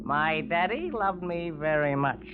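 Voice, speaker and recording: A spoiled young playboy who is thoroughly drunk. He drags his words slowly, swooping about in maudlin, petulant fashion. The old radio recording is dull and noisy. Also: on the high side, expressive. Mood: maudlin, spiteful. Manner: slow, boozy, childishly sentimental. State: drunk, acted. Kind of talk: 1950s radio drama acting.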